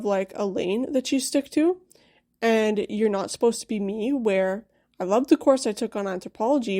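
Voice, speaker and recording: Young woman vlogger, mellow and warm, candid storytelling, chatty asides. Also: mid-range voice. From Quebec, Canada. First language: English. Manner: chatty, candid, frequent asides like "you know?" and "right?". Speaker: dental hygienist vlogger. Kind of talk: personal storytelling to camera.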